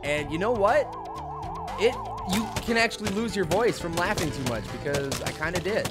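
Young male gaming host, slightly hoarse, playful and jokey, breaks into yelling; game music heavy behind him. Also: high-pitched, expressive, moderate pace. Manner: goofy, jokey, bursts into loud yelled shouts. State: slightly hoarse from losing his voice. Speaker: gaming commentator. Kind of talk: casual gameplay commentary. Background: video game music.

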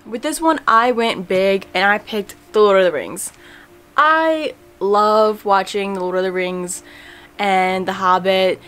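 A young woman chats about her favorite books in a relaxed, rambling way, full of fillers. Her voice sits in a middle range for a woman. She is recorded at home on a camera a short way off, with soft music underneath. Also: mid-range voice, measured pace, smooth. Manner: chatty and relaxed, many fillers. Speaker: book vlogger. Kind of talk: casual book tag vlog. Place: home room in front of bookshelves.

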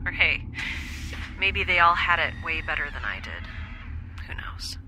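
A woman's voice: sits low, very animated, measured pace, bright, forceful tone, a little hiss or hum, exaggerated voice. A woman playing a wry, introspective young scientist in space, speaking a hesitant, conversational audio drama monologue. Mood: nervously upbeat.

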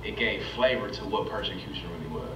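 An emphatic, streetwise young man talks on a discussion panel. His voice comes from a video played into a roomy hall, heard far off with a clear hiss behind it. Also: lowish voice, fast talker. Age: young adult. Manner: emphatic, streetwise, repeats "you know what I mean". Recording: video played in an echoey hall, off-mic, noisy.

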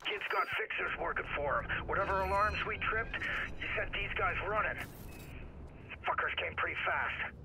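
An actor voicing a cocky, crude, easygoing middle-aged hacker in a video game, folksy, slangy and wisecracking. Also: male voice, high voice, moderate pace, background noise. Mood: conspiratorial. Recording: loud music over game dialogue.

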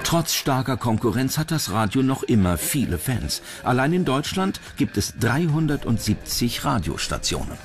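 A man's voice: mid-range voice, very animated, moderate pace, hardly any pauses. A man from reading scripted documentary narration. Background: music bed under the voice.

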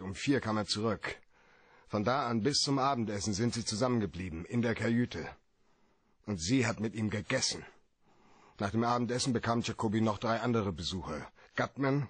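A man acting a tough, terse private detective in a German radio play, with a husky voice and a dry, matter-of-fact manner. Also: on the low side, dry recording.

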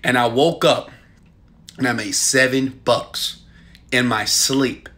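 A man in his thirties, filmed on a phone held at arm's length in a small room at home, giving a hyped and preachy sales pitch with loud bursts and quieter asides. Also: steady tone, slow. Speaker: online marketing coach. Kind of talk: selfie video sales pitch.

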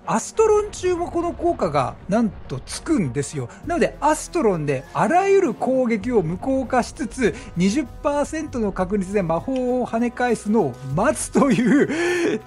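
A male gaming commentator with a high-pitched voice that swings widely as he talks. He explains his play in a chatty, excitable way. Faint music plays behind him. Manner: chatty, animated, exclaims at the action. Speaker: gaming streamer commentating gameplay.

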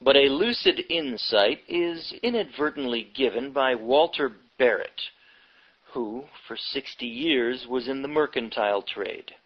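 A man reading a nonfiction history book aloud from the text, with deliberate phrasing broken into short, halting chunks. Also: medium pitch, animated. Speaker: audiobook reader. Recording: dull, lo-fi audiobook recording.

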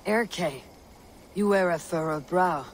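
A man playing a stoic, steadfast Viking warrior leader in a video game, speaking in a measured, solemn way. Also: sits high, a little hiss or hum, low-quality recording. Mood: calm, grave. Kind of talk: dramatic video game cutscene acting.

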